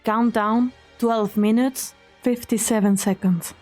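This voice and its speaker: A woman's voice: on the high side, steady tone, takes it slowly, darkish tone. A woman voicing a composed ship computer in a game fan dub, giving clipped, formal system announcements. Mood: impassive. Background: background music.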